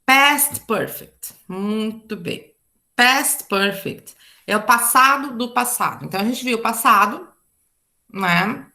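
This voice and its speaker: A woman's voice: on the high side, animated, slow pace, pushed and bright. A Brazilian woman teaching an English grammar class online, chatty and explaining with frequent asides and checks like "tá?". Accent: Brazilian Portuguese.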